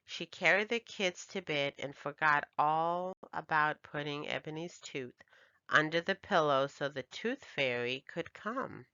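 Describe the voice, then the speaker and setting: A woman's voice: fairly low pitch, pushed and bright, dry recording, muffled recording. A woman narrating a children's storybook as a scripted read-aloud for young readers.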